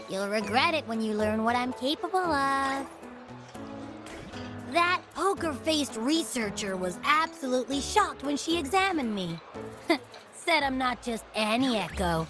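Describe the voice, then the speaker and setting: An actress voicing a tiny, boastful creature companion in a game, talking in a playful, smug way with little giggles. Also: female voice, high voice, sing-song, a little slow, long pauses. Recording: game audio with music behind.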